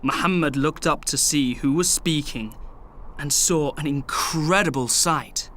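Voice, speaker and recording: A man narrating a scripted children's radio story in clear, measured storytelling. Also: on the high side, a bit bright, slight background noise.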